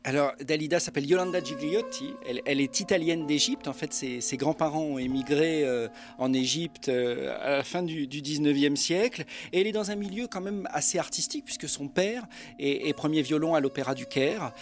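A man's voice: fairly high pitch, fairly quick, soft background music. Enthusiastic male guest explaining on radio. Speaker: journalist and author guest. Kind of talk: radio interview answers.

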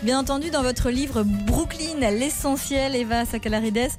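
A woman co-hosting a radio show, asking questions in a clear, composed way. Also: high-pitched, unhurried, music in the background. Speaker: radio co-host.

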